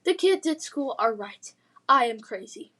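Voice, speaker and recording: Woman as a frightened, high-voiced young girl. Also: expressive, unhurried, pushed and bright, heavily acted. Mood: disbelieving. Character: frightened, disbelieving young girl facing a dragon. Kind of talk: children's fantasy read-aloud.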